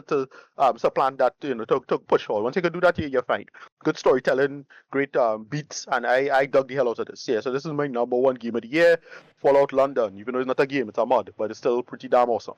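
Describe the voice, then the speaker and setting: A man chatting casually as a podcast panelist, haltingly, often repeating his words and phrases. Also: medium pitch, dry recording, lo-fi sound.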